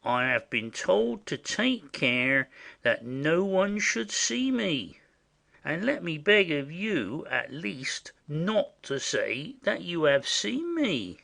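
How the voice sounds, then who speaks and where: Man playing a high-pitched, slow-talking, conspiratorial country bumpkin. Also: sing-song, gravelly, bright, forceful tone, full character voice. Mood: confiding. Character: simple, indiscreet rustic male messenger, conspiratorial and chatty. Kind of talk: classic stage comedy audioplay.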